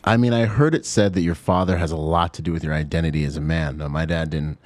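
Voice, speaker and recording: Deep-voiced reflective man from the Bahamas. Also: steady tone, quick pace, darkish tone, dry recording. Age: 30s. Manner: reflective, affirming, says "yeah" often. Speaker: podcast co-host. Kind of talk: casual podcast conversation.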